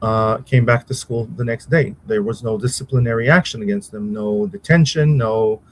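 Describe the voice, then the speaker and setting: Hesitant man, pausing for words. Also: medium pitch, a little hiss or hum, poor audio. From Israel. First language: Hebrew. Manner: halting, searches for words, many pauses. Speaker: interview guest, uncle of a victim. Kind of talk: conversational interview answers.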